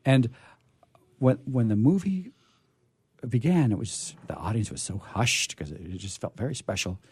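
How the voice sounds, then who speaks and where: Man, middle-aged or older, mid-pitched, slightly rough-edged husky tone, informal host chat, self-deprecating, enthusiastic. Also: lively intonation, normal speed, a little mellow, no room sound. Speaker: radio show host. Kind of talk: casual unscripted event chat.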